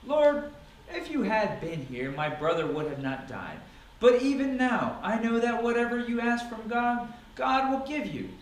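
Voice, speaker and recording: A man's voice: very high-pitched, very animated, measured pace, voice pushed up, heavily acted. A man voicing a devout, grieving woman in a dramatic scripture reading, earnest and pleading. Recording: echoey room, distant mic, noisy.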